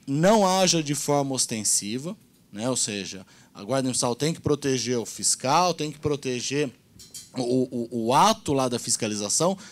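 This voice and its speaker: A man, earnestly explaining points at a council meeting on a handheld mic. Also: medium pitch. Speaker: meeting participant. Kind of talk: public meeting discussion.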